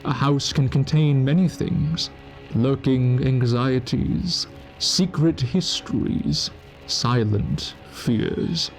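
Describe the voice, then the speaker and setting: A man giving scripted, brooding radio-play narration with measured, dramatic pauses. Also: medium pitch, a little mellow, rough recording.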